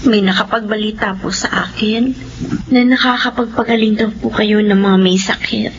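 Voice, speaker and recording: A woman's voice: medium pitch, steady tone, moderate pace, lightly acted. A woman playing a humble, blind Filipino mother, meek and hopeful as she speaks respectfully. The radio drama sound is dull, with faint noise. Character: humble, hopeful blind parent seeking a cure.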